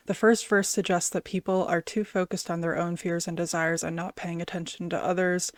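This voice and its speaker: Woman with a low-pitched voice, casually reviewing music. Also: no echo, studio quality. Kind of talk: casual album reaction commentary, some reading aloud.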